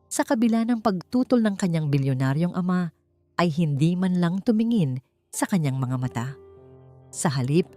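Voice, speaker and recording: A woman's voice: low voice, a little mellow, no room sound. A woman with a Filipino accent narrates a scripted dramatic story in an emotive, solemn way.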